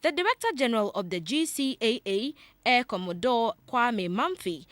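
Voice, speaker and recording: A Ghanaian woman narrates a television news report. Her pitch moves around a great deal as she reads, giving the scripted lines a very animated shape. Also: moderate pace, no echo.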